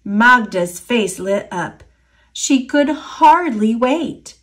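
An American woman narrates picture books for children. She takes her time with each phrase and moves her pitch up and down freely, in an expressive, deliberate way. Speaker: children's storybook reader. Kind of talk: scripted read-aloud narration.

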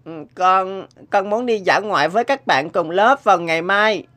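Woman as a bright-voiced, timid little boy. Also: medium pitch, moderate pace, slight background noise, lightly acted. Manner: hesitant, pleading. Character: timid, weary gifted little schoolboy. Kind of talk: cartoon voice acting.

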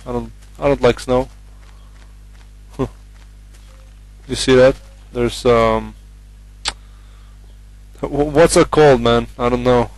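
A young man from Norway speaks English with a Norwegian accent while commentating a game. His delivery is flat and even, with little rise and fall. He hesitates often, filling gaps with ums and uhs as he chats. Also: mid-range voice, dry recording, rough recording. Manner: hesitant, many fillers, chatty. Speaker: gamer.

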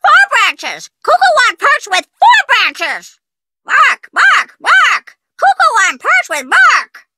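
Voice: wildly swooping pitch, slow pace, very noisy, heavily acted. An actor voicing a cheeky, bossy pet parrot in a video game, squawking out mimicked human phrases. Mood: squawking, excited. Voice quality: squawky, shrill. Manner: mimicking, exclaiming in short repeated phrases. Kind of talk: video game character acting.

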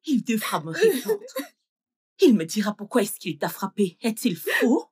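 A woman's voice: medium pitch, wildly swooping pitch, measured pace, a little mellow, husky, full character voice. An actress dubbing a fierce, protective middle-aged mother who scolds in indignant outbursts. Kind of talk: dubbed film acting.

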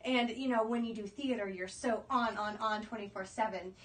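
A woman's voice: a little high, moderate pace. A woman in her twenties being interviewed as an actress, chatting casually in a small room with a distant microphone. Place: small indoor room, seated in armchairs.

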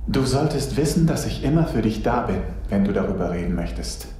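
Male actor as a soothing lover, lively pitch, echoey room. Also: a little fast, a little hiss or hum, close to an everyday voice. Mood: coaxing. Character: Eva's coaxing, doting male partner.

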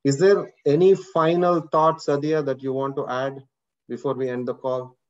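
A man's voice: medium pitch, flat delivery, moderate pace, dull audio. A man with an Indian accent, a course instructor giving an earnest motivational talk to students on a video call, repeating words and using many fillers.

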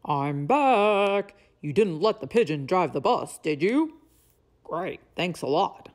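Woman playing a cheery, unhurried bus driver. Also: on the low side, sing-song, a little mellow. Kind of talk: picture-book read-aloud.

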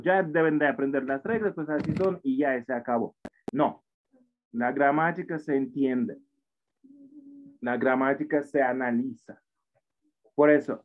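A man in his forties with a foreign accent in Spanish, teaching an English class online in a patient, encouraging way that often repeats itself. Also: mid-range voice. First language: not Spanish. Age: 40s. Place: indoor room at a computer. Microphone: computer mic on a video call. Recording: tinny phone-like online call audio.

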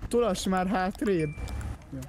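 A man chatting casually while playing an online roleplay game. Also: high voice. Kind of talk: casual gaming chat. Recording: game voice chat, faint background noise.

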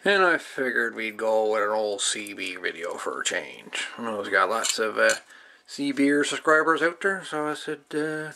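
A man giving a casual, folksy hands-on demonstration as a hobbyist, musing and pausing as he goes, recorded indoors at a workbench. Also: fairly low pitch, animated, gravelly, sharp tone. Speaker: hobbyist radio video maker. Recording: light background noise, clicks of handled parts.

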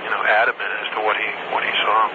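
A man's voice: fairly low pitch, little pitch movement, rapid-fire. A man working as an air traffic controller, talking candidly and informally on the telephone, repeating himself and swearing mildly. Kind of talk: candid casual phone conversation. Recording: noisy band-limited archival phone call recording.

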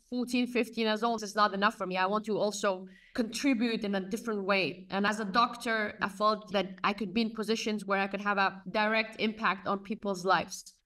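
A woman's voice: mid-range voice, fairly bright tone, distant mic. A woman in her thirties from Afghanistan, a guest answering on a video call from a room at home, telling her story earnestly. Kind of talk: conversational interview answers.

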